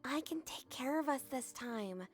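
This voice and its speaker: Spirited young huntress played by a woman, high and very animated, fantasy audiodrama with music beneath. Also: measured pace. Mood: hushed pleading. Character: spirited young huntress, protective big-hearted sister. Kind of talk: audiodrama acting.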